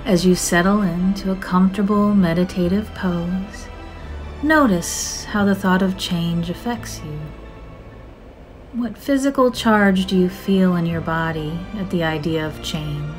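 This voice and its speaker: A woman narrating a scripted guided meditation in a soothing, measured, gentle manner with drawn-out phrases. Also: lowish voice, low-quality recording. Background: soft music.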